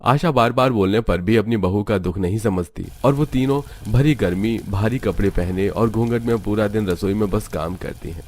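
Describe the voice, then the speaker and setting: Lowish-voiced man narrating a story. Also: moderate pace, hardly any pauses, a little mellow. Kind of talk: scripted Hindi cartoon story narration.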